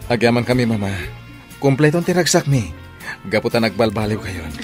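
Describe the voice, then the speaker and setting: A man's voice: mid-range voice, animated, fairly quick, soft background music, natural voice. A man with an Ilocano accent playing an earnest young groom in a radio drama. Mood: grateful.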